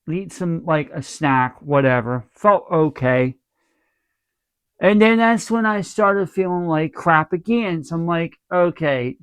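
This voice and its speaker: A man's voice: fairly high pitch, clear, resonant tone, dry recording. A congested man giving his channel a casual, rambling update, keeping his voice down and circling back to repeat himself. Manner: speaking softly to spare his throat. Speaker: channel host. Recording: dull lo-fi dry recording.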